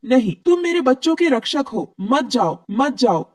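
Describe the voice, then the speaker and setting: A woman's voice: high voice, big pitch swings, moderate pace, dry recording, clean studio sound, distant mic, pitched above the performer's own voice. Woman as an anxious, pleading little mother bird. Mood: desperate, pleading. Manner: pleading, distressed. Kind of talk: cartoon voice acting.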